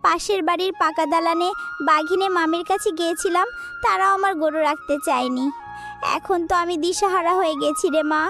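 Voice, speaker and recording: Woman voicing a high-pitched, tender jackal mother. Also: brisk, over music. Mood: anxious. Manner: soothing, affectionate, plaintive. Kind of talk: cartoon voice acting.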